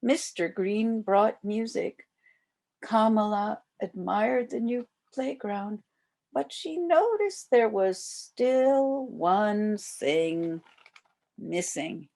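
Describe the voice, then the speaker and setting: An older woman reading a children's storybook aloud as a volunteer, with expressive delivery aimed at young children. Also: mid-range voice, extremely slow.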